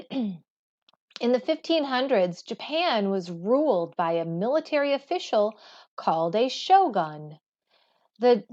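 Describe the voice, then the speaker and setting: A woman reading educational material aloud like a teacher, with deliberate, careful delivery. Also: mid-range voice. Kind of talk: read-aloud educational narration. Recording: slightly dull, lo-fi sound.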